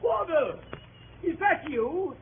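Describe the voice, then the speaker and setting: An actor playing an excitable, genial, curious gentleman in 1930s radio comedy. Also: very high voice, takes it slowly, heavily acted. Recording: tinny, noisy 1930s radio recording.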